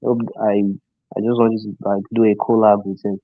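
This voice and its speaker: A man's voice: lowish voice, flat delivery. Earnest male caller on a dull line. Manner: earnest, halting with fillers. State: has a cough. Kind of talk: call-in suggestion. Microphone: phone or call-in line. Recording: dull lo-fi call audio.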